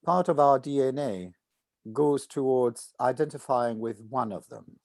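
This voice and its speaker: An older man talking casually as an actor in a rehearsal discussion, halting as he thinks out loud on a video call. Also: mid-range voice, no echo. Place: indoor room at home, video call.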